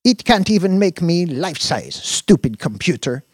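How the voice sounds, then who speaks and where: A man's voice: fairly high pitch, big pitch swings, normal speed, husky, no room sound, clean studio sound, voice pushed up. A man voicing a tiny, doting female holographic cheerleader, gushing in broken English in an audiobook. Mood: pouty, playful.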